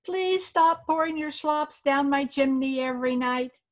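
A woman's voice: high voice, unhurried, voice pushed up. A woman voicing a tiny male fairy in a storybook, pleading and politely complaining.

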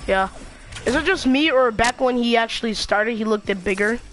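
A young boy chatting excitably and rambling while playing a video game with a friend. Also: extremely high pitch, normal speed. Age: child. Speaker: gamer. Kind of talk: casual gaming chat. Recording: noisy gameplay audio behind.

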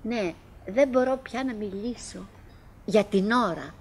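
An actress playing a chatty middle-aged wife in a theatrical radio drama monologue, halting and musing, by turns coaxing and murmuring, wistful, fretful and forcedly cheerful. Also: female voice, medium pitch, slight background noise, natural voice. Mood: anxious, fretful. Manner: halting, musing, self-interrupting, swinging between coaxing and murmuring.

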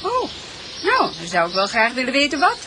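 An actress playing a calm, lightly teasing wife in a Dutch radio drama. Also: female voice, high-pitched, normal speed, noisy background. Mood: curious, uneasy.

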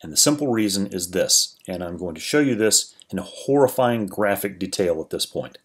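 Low-voiced man explaining a software tutorial. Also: normal speed. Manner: relaxed, explanatory, with frequent restarts. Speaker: 3D tutorial creator. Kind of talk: screen-recorded software tutorial narration.

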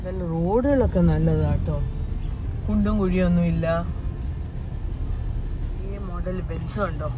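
A woman's voice: on the low side, a little slow, pauses often, muffled recording. A woman with a Kerala Malayalam accent narrating a road trip from inside a moving car, chatting and pointing out sights as she goes. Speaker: travel vlogger. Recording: background music over the talk.

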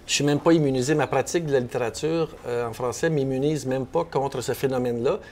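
A man's voice: mid-range voice, quick pace, a little mellow, a little hiss or hum. A man in his fifties with a Québécois accent, a novelist guest on a book panel, talking thoughtfully with many restarts. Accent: Québécois French. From Quebec. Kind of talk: panel discussion. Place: bookstore, indoors.

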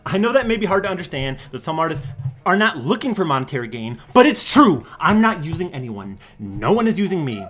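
A young man giving an over-the-top dramatic reading as a smug, defensive forum poster, theatrical and swinging between breathy whispers and shouting. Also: fairly high pitch, very animated, brisk. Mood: condescending, exasperated. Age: young adult. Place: small room at home.

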